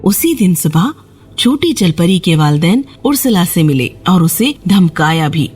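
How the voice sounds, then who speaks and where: A woman's voice: on the low side, sing-song, darkish tone, slightly rough, soft background music. A woman storyteller giving a scripted narration of a children's fairy tale.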